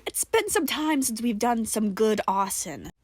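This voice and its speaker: A woman voicing a delighted, unnaturally chipper wooden toy soldier with old-fashioned gentlemanly speech in an audio story. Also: on the high side, big pitch swings, unhurried, slightly rough, no room sound, full character voice. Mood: cheerful.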